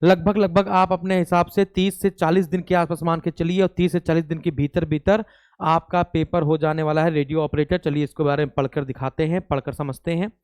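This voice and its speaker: A man's voice: on the high side, steady tone, brisk, darkish tone, clean tone, no room sound. A man giving an informal exam news update as a coaching teacher, explaining with repeated phrases and check-in tags.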